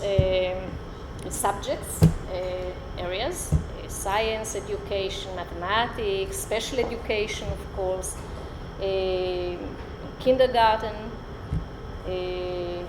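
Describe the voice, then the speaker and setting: A middle-aged Israeli woman, a Hebrew speaker, giving an unprepared guest lecture in English with many "uh" fillers, filmed by a far camera in a lecture room. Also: mid-range voice. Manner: animated, gestures a lot, many "uh" fillers. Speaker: college lecturer. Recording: roomy hall with background noise.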